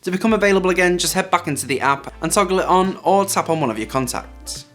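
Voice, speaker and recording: A man's voice: high voice, lively intonation, fast talker, a bit bright. A man hosting a tech video, narrating a scripted hands-on review. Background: background music.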